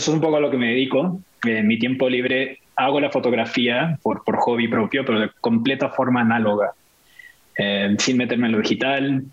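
A man from Chile, a guest talking in a relaxed, thoughtful way with many fillers over a video call. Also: mid-range voice, slightly rough. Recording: slightly dull lo-fi call audio.

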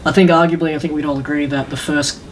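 A man's voice: a little high, flat delivery, fast, slight background noise. A man chatting casually as a podcast co-host, rambling with enthusiasm and some hesitation. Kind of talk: casual chat about games and films.

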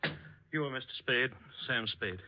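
An actor playing an anxious, genteel psychoanalyst who speaks formally and haltingly, in 1940s radio drama style. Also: male voice, medium pitch, heavy background noise, close to an everyday voice. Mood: nervous, guarded. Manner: hesitant, stammering, formal. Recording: muffled 1940s radio recording.

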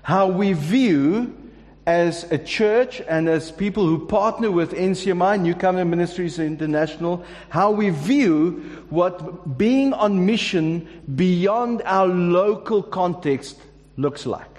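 A man with a high voice delivers a sermon to his congregation. He speaks casually and jokes, often restarting his sentences. His voice rings with the long reverb of a large hall. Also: expressive, slow pace, darkish tone. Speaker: pastor. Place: church hall.

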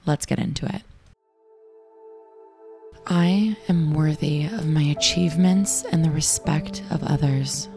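Raspy low-voiced woman reading affirmations. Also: dark tone. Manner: slow, soothing affirmation reading. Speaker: affirmation and meditation host. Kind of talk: guided affirmations. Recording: clear music bed behind voice.

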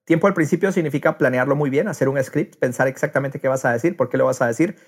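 A man's voice: medium pitch, quick pace, husky. A man hosting a casual podcast interview, explaining points in a structured way. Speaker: podcast host.

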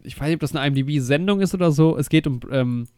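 A man's voice: on the high side, very fast, mellow, clean tone, dry recording, clean studio sound. Chatty young man with a slight cold. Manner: chatty, slangy. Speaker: podcast co-host. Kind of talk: casual podcast chat.

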